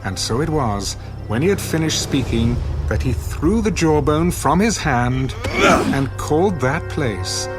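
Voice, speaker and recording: A man narrating a dramatised scripture reading in a solemn, measured and dramatic way. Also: on the high side, animated. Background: music.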